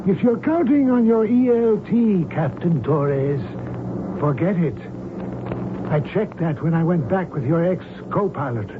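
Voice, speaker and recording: A smug, menacing high-voiced hijacker reverend. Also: male voice, lively intonation, a little slow. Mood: cold threat. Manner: formal, commanding, coolly threatening. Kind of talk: old-time radio drama acting. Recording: tinny, noisy old radio broadcast recording.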